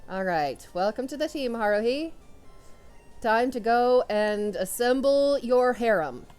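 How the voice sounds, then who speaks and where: A young woman commentating a video game run, loud and excitable, talking to her characters as she plays. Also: fairly high pitch, a little slow, clear, resonant tone, soft background music. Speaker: let's player. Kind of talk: casual gameplay commentary.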